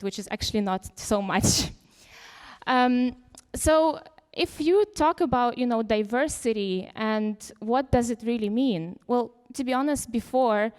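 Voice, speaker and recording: A woman's voice: a little high, clear, resonant tone. A young woman from Ukraine giving a casual, unscripted conference talk, full of "you know" and fillers. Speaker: conference speaker. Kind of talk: informal talk without slides. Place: lecture hall. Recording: reverberant hall.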